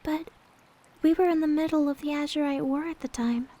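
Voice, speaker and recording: A woman playing a gentle, devoted young maid in an ASMR roleplay, speaking in a soft, sweet, tender way. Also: sits high, steady tone, fairly quick, close to an everyday voice. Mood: hesitant, wistful. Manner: soft, sweet, tender, hesitant when confiding.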